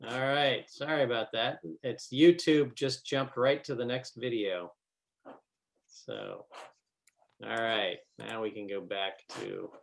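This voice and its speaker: A man presenting a webinar in casual, unscripted, halting and apologetic speech over a computer call. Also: medium pitch, animated, gravelly. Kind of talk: casual, unscripted screen-share talk. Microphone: computer on a video call. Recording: noisy webinar call with clicks.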